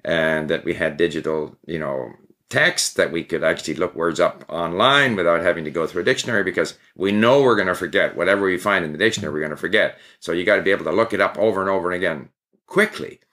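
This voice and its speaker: An older man from Canada, a guest in an interview, telling his story in a relaxed, reflective way. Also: low-pitched, fast. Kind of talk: interview conversation.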